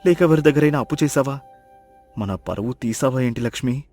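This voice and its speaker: A man's voice: mid-range voice, brisk, a little mellow, soft background music, natural voice. A man playing a poor, devoted fisherman husband in a moral story, speaking earnestly and with dramatic emphasis. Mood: alarmed questioning. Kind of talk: acted moral-story dialogue.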